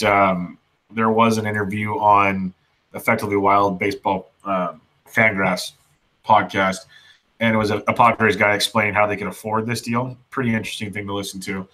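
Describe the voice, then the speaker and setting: Bright-toned man co-hosting a podcast. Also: on the low side, rough recording. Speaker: podcast co-host. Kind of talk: casual sports podcast chat.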